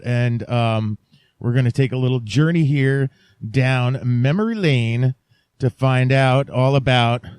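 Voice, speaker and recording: A man's voice: medium pitch, a little mellow, dry recording, clean studio sound. A man hosting a podcast, talking casually to his listeners in a chatty, playfully emphatic way. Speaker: podcast host.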